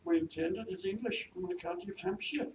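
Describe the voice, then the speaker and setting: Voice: mid-range voice, fast talker, voice pushed up, close to an everyday voice. A reader voicing a meek but determined young maid with an attempted Welsh accent, polite, hesitant and deferential, as in a period novel. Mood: timid, earnest. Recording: noisy echoey room, distant mic.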